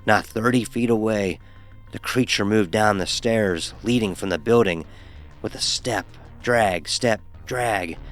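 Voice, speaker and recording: A man's voice: lowish voice, a bit bright. A man narrating a first-person horror story in a dramatic, wry manner.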